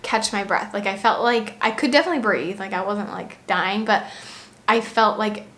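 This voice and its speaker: A woman in her twenties from the United States, chatting casually to camera in a pregnancy vlog, laughing often and pausing to breathe through contractions. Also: mid-range voice, fairly bright tone. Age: 20s. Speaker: vlogger.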